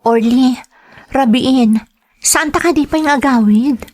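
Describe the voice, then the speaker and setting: A woman's voice: sits high, a little slow, darkish tone. An actress with an Ilocano accent playing a dutiful young working woman in a radio drama, earnest and pleading. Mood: gently pleading.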